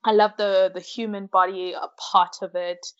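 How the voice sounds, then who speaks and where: A woman's voice: mid-range voice, level tone, normal speed, clean tone. Casting: woman in her twenties, South African accent, chatty interviewee telling her story, slightly muffled sound. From Malawi, grew up in South Africa from about age six. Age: 20s. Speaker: interview guest, science postgraduate student.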